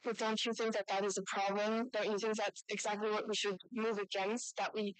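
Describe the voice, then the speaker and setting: A woman's voice: mid-range voice, little pitch movement, brisk, smooth, no room sound, rough recording. A woman speaking as a participant in a formal youth parliament debate.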